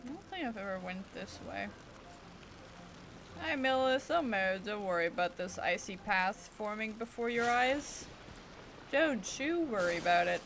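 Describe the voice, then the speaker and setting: A woman's voice: mid-range voice, animated, normal speed, fairly bright tone, faint music behind. A woman streaming a video game with casual, chatty, playful commentary, often muttering to herself.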